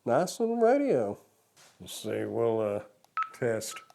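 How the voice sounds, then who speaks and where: A man's voice: mid-range voice, very animated, slow, vocal fry, background noise. An older man reviewing a product hands-on in a casual, plain-spoken way, explaining things step by step.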